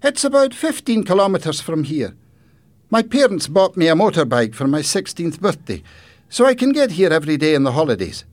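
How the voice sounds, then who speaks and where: A male narrator plays a friendly teenage boy from a storybook. He is upbeat and emphatic, pitched well up with plenty of movement in the voice. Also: sing-song, a little fast. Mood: cheerful. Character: friendly, cheerful sixteen-year-old boy.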